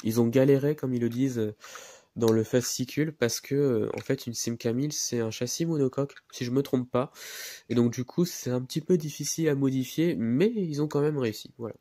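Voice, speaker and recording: A man's voice: mid-range voice, fairly quick, warm tone, no echo. A man with a head cold and a nasal voice, presenting a miniature car in a hesitant, casual way with many fillers. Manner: hesitant, many fillers, repeats words while handling the model.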